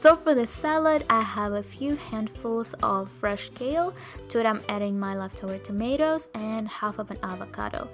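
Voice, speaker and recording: A woman's voice: fairly high pitch, moderate pace, over music. A young woman with a Russian accent, chatting cheerfully to viewers as she narrates her cooking. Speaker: vegan food vlogger.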